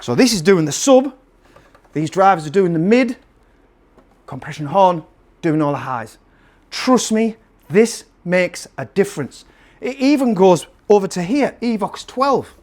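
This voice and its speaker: A man presenting a casual product review to camera, emphatic and salesman-like, repeating phrases to drive his point home. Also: sits high. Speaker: presenter and seller of PA gear.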